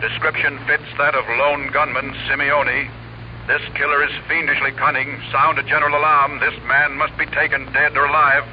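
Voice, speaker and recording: Flat, clipped police dispatcher, man. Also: fairly high pitch, moderate pace. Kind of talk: 1940s radio acting. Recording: dull old radio recording with faint hiss.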